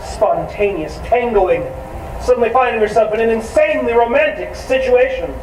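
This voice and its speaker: A man's voice: extremely high pitch, expressive, normal speed, a little mellow. A man playing a wistful, wry musical-theater fan who chats to the audience in asides, in a community musical. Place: outdoor amphitheater stage. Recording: distant, echoey outdoor stage with noise.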